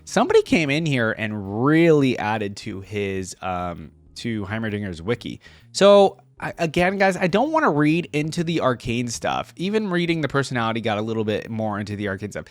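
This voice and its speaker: A man's voice: medium pitch, very animated, moderate pace, no room sound. A man reading an article aloud for a reaction video, adding casual conversational asides as he goes.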